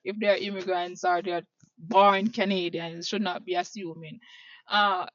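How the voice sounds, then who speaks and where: A Jamaican woman answering interview questions slowly and hesitantly, thinking aloud as she goes. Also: fairly low pitch, little pitch movement, fairly bright tone, no room sound, highs cut off.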